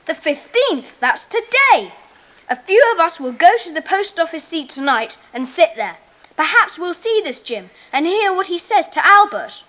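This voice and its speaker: A woman's voice: very high-pitched, big pitch swings, moderate pace, pushed and bright, exaggerated voice. A woman playing an eager, bossy boy who leads a children's secret club in an audio drama, with decisive, commanding delivery. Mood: worried, decisive. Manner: decisive, commanding, schoolchild diction. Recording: reverberant, hall-like echo.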